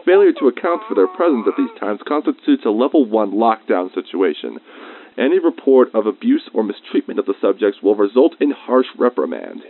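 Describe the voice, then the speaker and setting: A man's voice: fairly low pitch, very animated, brisk. Actor as male researcher on tinny radio log. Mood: measured, fond. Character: male facility researcher logging notes, fond of creatures. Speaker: researcher recording a personal log. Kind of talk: scripted audio diary narration, semi-formal. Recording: dry, thin phone-like radio sound.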